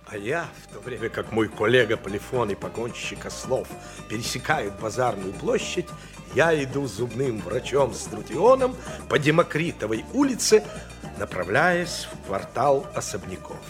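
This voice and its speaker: A man plays a businesslike lawyer in a radio play, telling things with officious emphasis. His middle-pitched voice swoops expressively over soft music. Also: big pitch swings, normal speed, natural voice. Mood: narrating, brisk. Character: smooth-talking, businesslike lawyer narrating his doings. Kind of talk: theatrical radio play acting.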